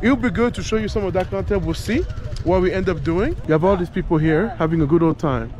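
A man's voice: sits high, fast, a little mellow. A middle-aged man from Cameroon hosting a casual travel vlog, chatting with people while filming himself at arm's length by a lake shore. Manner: chatty, upbeat, asks locals questions. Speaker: travel vlogger host. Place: outdoors by a lake shore, crowd of students around. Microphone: handheld selfie camera at arm's length. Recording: outdoor crowd chatter behind.

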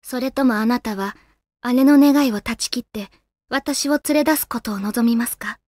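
A woman playing a gentle, solemn female guardian spirit in a Japanese video game, speaking politely and formally with composure. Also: fairly high pitch, moderate pace, no echo, studio quality, lightly acted. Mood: calm, solemn.

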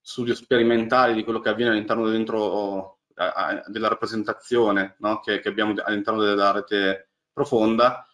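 A man's voice: on the low side, flat delivery, normal speed, hardly any pauses, a bit bright. A man, giving a technical lecture over a webcam and talking through slides with many fillers and restarts. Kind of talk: technical lecture on deep learning. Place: small indoor room. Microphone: webcam on a video call.

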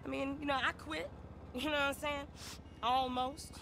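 A woman's voice: high-pitched, steady tone, normal speed, vocal fry, sharp tone, full character voice. An actress playing a pushy, exasperated streetwise American woman, slangy and nagging, in a video game cutscene. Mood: evasive. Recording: noisy street ambience behind voice.

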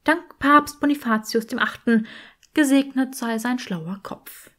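A woman's voice: on the high side, unhurried, a bit bright, voice pushed up. A woman voicing the flirtatious, chatty skull of a long-dead female saint in an audiobook, trilling and cooing theatrically. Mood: chatty, grand. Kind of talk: audiobook character acting.

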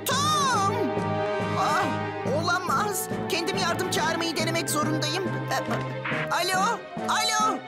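A woman voicing an excitable, mischievous young boy in a dubbed children's cartoon, urgent and exclamatory. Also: extremely high pitch, slow pace, over loud music, exaggerated voice. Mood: panicky, urgent.

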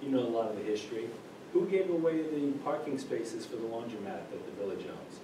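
A man's voice: mid-range voice, expressive, a little fast. A man politely cutting in with curious questions at a meeting. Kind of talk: municipal meeting discussion. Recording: echoey room, distant mic, noisy.

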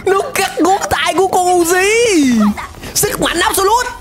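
Animated young southern Vietnamese man reacting. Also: very high voice, a little slow. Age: young adult. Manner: animated, exclaiming. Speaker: reaction streamer. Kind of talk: casual reaction commentary to a cartoon. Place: indoor streaming room. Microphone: close desk microphone, headset on. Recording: music playing behind the voice.

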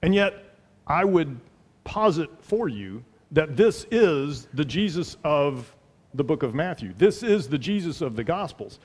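A man's voice: on the high side, big pitch swings, measured pace, slightly rough. A middle-aged man preaching a sermon from a pulpit in a church, earnest and emphatic, repeating phrases for weight, on a headset mic. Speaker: pastor. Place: large church sanctuary, at a pulpit. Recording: long hall reverb.

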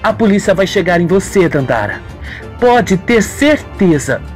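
Woman as accusing young soap heroine: pointed, confrontational, animated. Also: medium pitch, measured pace, faint music behind, pitched above the performer's own voice. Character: accusing, suspicious young woman, former friend of villain.

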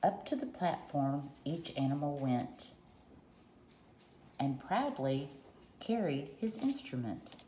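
A woman reading a children's picture book aloud in short, deliberate rhyming phrases, recorded at a table at home. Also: deep voice, lively intonation, warm tone. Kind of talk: read-aloud storytelling. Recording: roomy echo, faint background noise.

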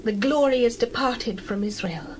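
A man voicing a dying young mother in a dramatized scripture reading, in a strained falsetto. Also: lively intonation, moderate pace, noisy background. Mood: grieving.

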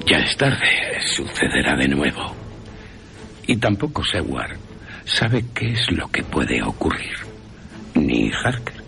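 A Castilian Spanish man tells a radio horror tale with theatrical suspense. His deep, creaky voice moves slowly over faint music. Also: sharp tone. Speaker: narrator. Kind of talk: dramatic radio horror storytelling.

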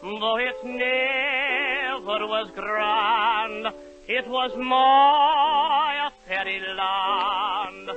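High, slow tenor singing a ballad. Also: male voice, music in the background. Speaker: amateur contestant singer. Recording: muffled old radio broadcast with orchestra.

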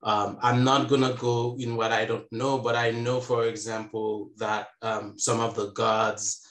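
A man in his thirties on a video call from home, speaking slowly and reflectively with frequent pauses. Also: mid-range voice, flat delivery, a bit bright, roomy sound. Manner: slow and reflective, hesitant fillers. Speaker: panelist. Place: home room. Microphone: earbuds on video call.

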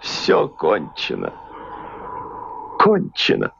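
Gentle cartoon painter, gravelly and expressive. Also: male voice, lowish voice, very slow, a little hiss or hum, full character voice. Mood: despairing. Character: gentle, downhearted male painter hero. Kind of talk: animated film dub in Russian. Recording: dull old film soundtrack under music.

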